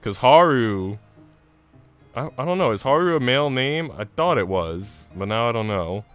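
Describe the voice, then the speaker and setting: Clear-voiced man streaming casually over music. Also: medium pitch, lively intonation, normal speed. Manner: slangy, profane, joking. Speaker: live streamer. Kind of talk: casual chat while streaming a visual novel.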